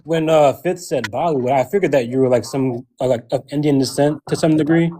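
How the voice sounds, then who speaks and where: A man's voice: mid-range voice, fairly quick, mellow. A man from Connecticut, a guest chatting casually on a live stream. He asks curious, rambling questions, full of hesitant restarts. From Connecticut, USA. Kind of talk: casual chat.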